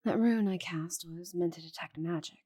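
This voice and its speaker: Woman voicing a breathy, low, proud giant dragoness. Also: moderate pace, warm tone. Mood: grave, puzzled. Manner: breathy, haughty, sighing, slipping into whispers. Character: proud, stubborn giant female dragon, secretive and possessive. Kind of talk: intimate ASMR roleplay acting.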